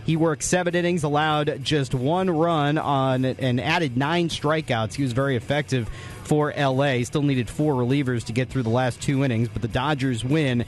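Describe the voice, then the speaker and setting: A man's voice: medium pitch, normal speed. A man hosting a radio post-game show, delivering a sports recap.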